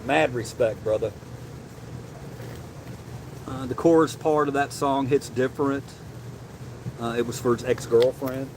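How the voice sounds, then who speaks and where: A man hosting a casual livestream and reading chat, animated and loose, swearing casually and calling people bro. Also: medium pitch, a little slow, pauses often, a little hiss or hum, rough recording. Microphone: desk mic, sometimes leaning away.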